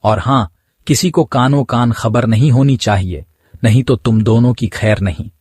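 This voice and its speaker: A man's voice: mid-range voice, normal speed, darkish tone, breathy edge, no room sound, clean studio sound, natural voice. A man playing a scheming, commanding rich village merchant in a cartoon story, businesslike and bossy. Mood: conspiratorial, threatening.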